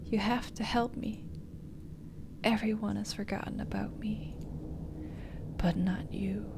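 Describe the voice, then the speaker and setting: A woman voicing a weak, ghostly lost sister in a visual novel, pleading slowly in a faint breathy whisper. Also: medium pitch, fairly even intonation, background noise. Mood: ominous warning. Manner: slow, drawn-out, faint delivery.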